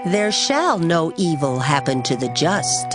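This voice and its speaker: Wise righteous reciter, lowish pitch, very lively intonation, solemn measured declamation, audio Bible drama, music behind. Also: female voice. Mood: solemn, assured. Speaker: scripture reader. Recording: studio reading over background music.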